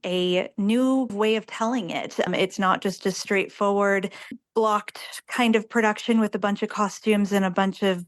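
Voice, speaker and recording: A woman with a mid-pitched voice speaks as an interview guest. Her manner is conversational, earnest and effusive. The sound is very dry and close. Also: steady tone, measured pace, dry recording. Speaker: theatre director, interview guest.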